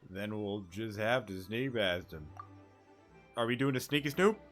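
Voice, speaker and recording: Man, lowish voice, casual let's play commentary, joking, informal, background game music. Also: expressive, a little slow, a bit bright. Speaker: gaming streamer.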